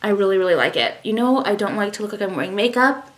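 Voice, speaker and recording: A woman's voice: mid-range voice, expressive, fast talker. A woman in her thirties chatting casually to camera in her bedroom, rambling and self-deprecating as she shows a skincare product. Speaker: vlogger. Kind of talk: casual vlog chat with product talk. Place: bedroom at home, indoors. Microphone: camera at arm's length.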